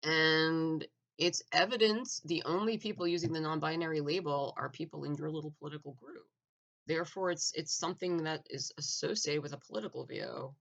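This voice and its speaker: A man giving a blunt, argumentative opinion monologue, full of "like" fillers and restarts, clearing his throat now and then. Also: a little high, fairly quick, a bit bright, lo-fi sound. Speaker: opinion vlogger.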